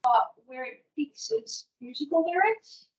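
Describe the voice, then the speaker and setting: A woman's voice: sits high, animated, slow, a little mellow. A woman in the audience talking casually, filling her speech with "like". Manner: chatty, lots of "like". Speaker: audience member. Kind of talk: casual discussion. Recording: roomy distant lo-fi audio.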